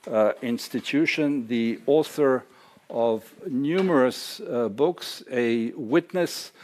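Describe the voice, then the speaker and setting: A man hosting a conference panel, introducing speakers with many hesitant pauses. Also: mid-range voice, darkish tone, slight background noise. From Serbia. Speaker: conference host. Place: lecture hall or library.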